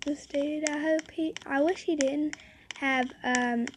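High-voiced girl mumbling through a game. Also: fairly even intonation, takes it slowly. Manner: mumbling, trailing off mid-sentence. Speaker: gamer recording gameplay. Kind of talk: casual let's-play chat. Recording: loud background noise.

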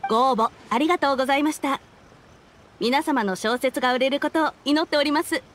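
Casting: young female clerk for an anime game, high voice, formal and very courteous woman performer. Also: a little fast, slight background noise, close to an everyday voice. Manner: very polite, businesslike.

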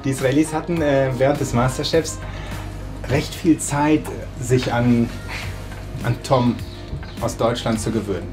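A German man in his forties talking casually while cooking in his home kitchen, relaxed with many hesitations. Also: medium pitch, measured pace, pauses often. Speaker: interviewed chef. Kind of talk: casual cooking demo and interview. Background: background music.

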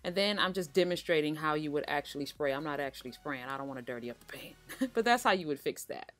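Low-voiced woman narrating a baking tutorial. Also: lively intonation, fast talker, a bit bright. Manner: chatty, reassuring, speaks to viewers as "you guys". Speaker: cooking channel host. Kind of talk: relaxed voice-over baking tutorial.

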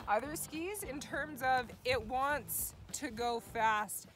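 A woman's voice: sits high, slow, fairly bright tone, faint music behind. A woman giving an upbeat ski review outdoors on a snowy mountain. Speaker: ski tester. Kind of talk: casual gear review.

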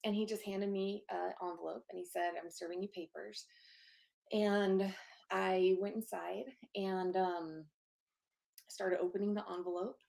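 A soft-spoken woman in her thirties, a guest telling a personal story over a video call from her home office. Her voice carries a gravelly fry, and she speaks openly and earnestly, pausing on fillers as she goes. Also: mid-range voice. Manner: open and earnest, many fillers.

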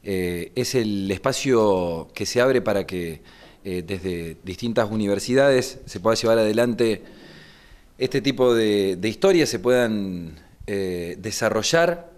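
Argentine male producer, 40s, interview explanation, low pitch, searching delivery. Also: animated, takes it slowly, darkish tone. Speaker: radio producer. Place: small wood-panelled room indoors. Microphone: microphone just in front.

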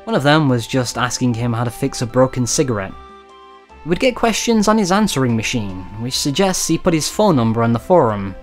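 A man's voice: medium pitch, big pitch swings, a little fast, soft background music. A man narrating a scripted video essay in a wry, conversational storytelling manner. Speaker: documentary narrator.

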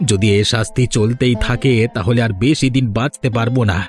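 A man's voice: medium pitch, steady tone, moderate pace, darkish tone, natural voice. A man voicing a sick, guilt-burdened village husband in a cartoon, speaking in a despairing, dramatic way. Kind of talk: Bengali cartoon voice acting. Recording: faint music behind the voice.

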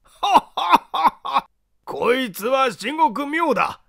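A man reading an audiobook, voicing an excitable, polite gentleman with an exclamatory manner. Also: extremely high pitch, vocal fry, voice pushed up, full character voice. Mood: roaring amusement. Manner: exclamatory, mocking politeness, drawn-out guffaws. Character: excitable, polite Japanese gentleman, astonished then heartily amused.

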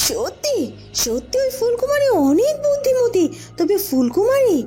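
Cartoon villainess, woman actor: young, jealous, scheming, very high mellow voice, faint music underneath. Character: scheming jealous young royal rival plotting against a princess. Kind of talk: cartoon fairy-tale voice acting. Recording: music behind the voice.

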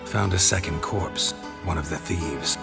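An actor playing a stoic, laconic monster hunter in a video game, terse and deadpan with dry humor. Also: male voice, low voice, unhurried, vocal fry, over loud music. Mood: matter-of-fact. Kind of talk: video game dialogue acting.

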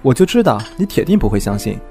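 A man's voice: medium pitch, very animated, quick pace, dark tone. Casting: man playing earnest, wry young friend, frank and protective, medium voice, audio drama over music. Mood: earnest, defensive. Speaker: voice actor.

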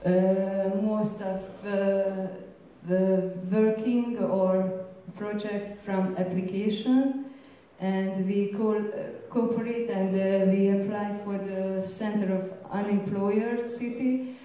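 Hungarian accent woman giving a talk, super echoey room, halting and slow, kinda mellow voice. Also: medium pitch, steady tone. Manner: halting, hesitant non-native English with frequent pauses. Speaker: gallery curator and presenter. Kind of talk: informal conference presentation. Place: indoor presentation room, seated at a panel table. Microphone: handheld microphone through room sound. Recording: very echoey room, distant, faint noise.

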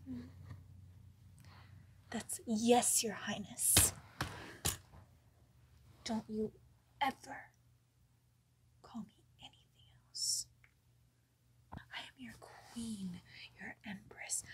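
A young woman in an ASMR roleplay playing a bossy, controlling girlfriend, speaking in slow, menacing, breathy whispers close to the mic in a small bedroom. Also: a little high. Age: young adult. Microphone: close camera mic.

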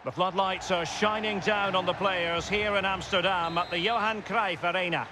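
A man's voice: high-pitched, steady tone, normal speed, lightly acted. A man playing a dramatic TV football commentator, calling play in a video game match. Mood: dramatic, building. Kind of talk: broadcast sports commentary. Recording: loud stadium noise behind.

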